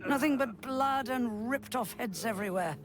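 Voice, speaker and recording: A woman's voice: a little high, normal speed, over music, lightly acted. An actress playing a bitter older mother-in-law who scolds and sneers in a video game cutscene. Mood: sardonic scolding. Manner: scolding, sardonic.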